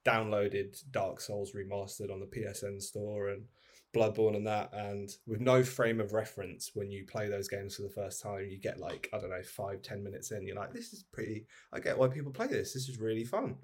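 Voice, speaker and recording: A man's voice: on the low side, moderate pace. A man talking casually and informally as a podcast guest, in a loose anecdotal style with salty language. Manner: informal, sweary, quotes himself.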